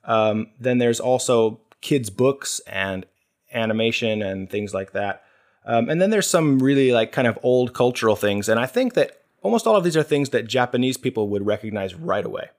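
A man hosting a casual podcast chat, explaining things in a measured way and thinking aloud as he goes. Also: medium pitch, expressive. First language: English. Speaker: podcast host.

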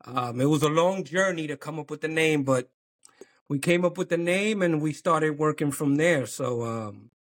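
A man, a business owner being interviewed, speaking relaxed and halting with many pauses and ums. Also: a little high. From New York. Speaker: clothing brand owner, guest. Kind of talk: casual interview chat.

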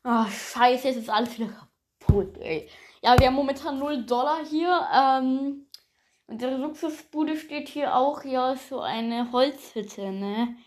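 A woman's voice: sits high, measured pace. A woman improvising a homemade audio play, chatting casually and hesitantly straight to the listeners.

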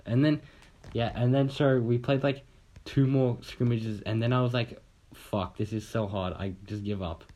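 A man's voice: medium pitch, steady tone, normal speed, mellow, a little hiss or hum. A young man from Australia telling a long, rambling story in casual chat on a podcast, swearing casually and acting out what people said.

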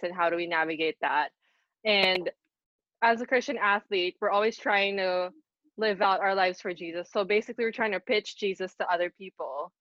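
A woman's voice: lowish voice, a bit bright, dry recording, dull audio. A woman in her twenties from the Philippines, co-hosting a podcast in casual, earnest chat. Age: 20s. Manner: earnest, upbeat, many fillers. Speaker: podcast co-host.

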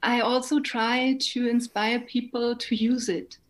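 German woman guest talking english, german accent, slow careful answers, a bit higher voice. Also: level tone. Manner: thoughtful, measured explanations with soft laughs. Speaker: guest, musician and language coach. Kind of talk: conversational interview.

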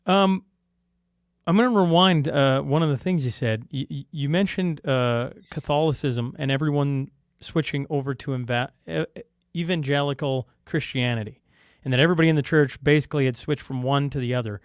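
A man hosting a podcast, asking questions in a halting way with lots of fillers and restarts. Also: a little high, smooth, no room sound, studio quality. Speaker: podcast host. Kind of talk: casual interview talk.

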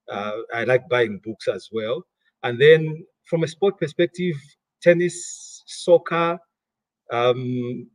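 Malawian man, middle-aged interview guest, husky and dry-miked, halting and repetitive delivery. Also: medium pitch, a little mellow, no room sound, low-quality recording. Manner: repeats words often while thinking. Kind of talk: interview conversation.

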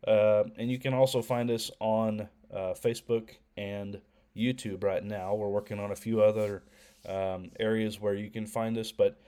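A young man co-hosting a faith podcast, talking casually with a head cold that makes him a little nasal, often pausing with ums and restarting. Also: on the low side, level tone, dark tone. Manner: hesitant, many ums and restarts. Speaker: podcast co-host.

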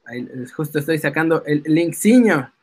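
A man with a Mexican accent co-hosting a live stream in casual, playful banter, excitable and quick to exclaim. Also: fairly high pitch, slightly rough.

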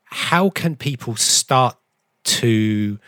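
A man's voice: medium pitch, expressive, extremely slow, a bit bright, no echo. A man hosting a panel discussion, putting questions to guests in a conversational way. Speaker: host moderating a panel. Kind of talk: conversational interviewing.

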